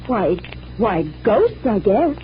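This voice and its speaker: Muffled old radio drama, eager young stage assistant, quick-witted, curious, high animated voice. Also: female voice. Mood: spooked, eager. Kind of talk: old-time radio mystery acting. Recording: muffled old radio recording, faint noise.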